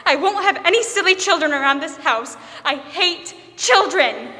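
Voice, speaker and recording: A woman's voice: very high voice, lively intonation, moderate pace, bright, forceful tone, exaggerated voice. A young woman playing a disturbed, sinister older aunt in a theatrical, intense 1940s radio drama style. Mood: menacing. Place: stage of a school theater. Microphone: microphone on a stand. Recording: echoing hall with long reverb.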